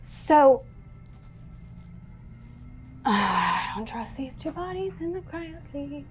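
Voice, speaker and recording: Higher-voiced woman playing a tabletop game. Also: sing-song, drawn-out words, soft background music, poor audio. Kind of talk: casual in-character table talk.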